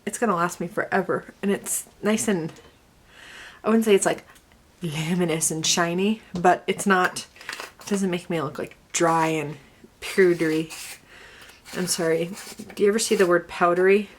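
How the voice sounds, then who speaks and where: A woman's voice: fairly low pitch, fairly even intonation, moderate pace, a little hiss or hum. A young woman with a slight Southern US accent, chatting snarkily through a get-ready-with-me makeup video and muttering asides. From Connecticut and the US South. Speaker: beauty vlogger. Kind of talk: casual get-ready-with-me chat.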